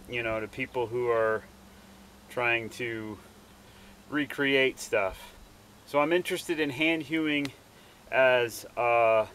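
A man's voice: mid-range voice, fairly bright tone, a little hiss or hum. A plain-spoken man explaining hands-on homestead skills in a casual, instructional way, recorded outdoors on a camera mic a few feet away. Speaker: homesteader presenting his channel. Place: outdoors in a snowy farm yard.

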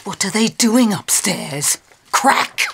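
A woman's voice: mid-range voice, very animated, slow pace, vocal fry, fairly bright tone, slight background noise, exaggerated voice. A middle-aged woman playing an exasperated, foul-mouthed soap actress in a screen comedy, theatrical, haughty and sarcastic. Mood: exasperated, ranting. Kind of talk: screen comedy acting as radio drama actress. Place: small radio recording studio.

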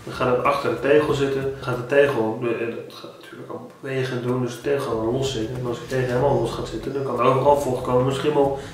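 A man in his thirties with a Dutch accent, giving home-buying tips in a casual, animated vlog with many restarts. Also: mid-range voice, fast talker, few pauses, slight background noise. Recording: very echoey room, a bit distant.

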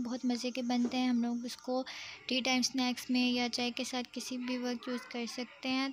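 A woman hosting her cooking channel, narrating a home recipe step by step to viewers in a steady, explanatory way. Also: on the high side. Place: home kitchen.

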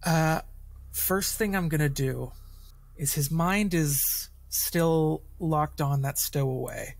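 A man's voice: a little high, slow pace, creaky, dry recording. A man playing a tabletop role-playing game, casually describing his character's actions in the third person.

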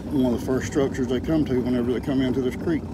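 A man in his sixties with a Southern US accent, explaining fishing in a casual, folksy, unhurried way as a vlogger. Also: medium pitch, fairly even intonation. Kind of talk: casual outdoor vlog talk and explanation. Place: open fishing boat on a lake, partly in a vehicle. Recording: loud boat motor and outdoor noise.

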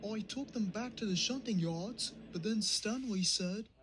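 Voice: mid-range voice, unhurried, noisy background, natural voice. An actor voicing a forgetful, anxious cartoon diesel engine, apologetic and hesitant, prone to gasps. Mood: flustered recalling. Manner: hesitant, gasping, dithering. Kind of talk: cartoon comic dub dialogue.

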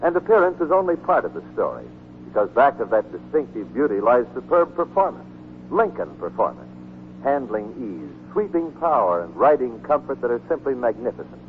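A man reading a 1940s radio advertisement as announcer, polished, emphatic and grandiose. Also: mid-range voice. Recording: tinny, noisy 1940s radio broadcast.

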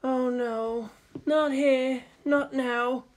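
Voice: sits high, fairly even intonation, extremely slow, pitched above the performer's own voice. A narrator voicing a weary, formal woman scientist with a resigned manner in a read story. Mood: dismayed. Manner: muted, resigned.

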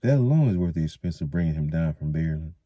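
A man's voice: a bass voice, lively intonation, quick pace, mellow, husky, no echo, heavily acted. A man doing a hearty, stout village mayor. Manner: hearty, knowing. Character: stout jovial middle-aged male mayor and innkeeper.

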